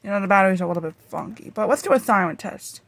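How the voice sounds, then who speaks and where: Someone casually showing off a collection in a home-made vlog, talking in a halting, rambling way. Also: medium pitch, heavy background noise. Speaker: video creator showing a radio collection. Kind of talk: casual show-and-tell vlog.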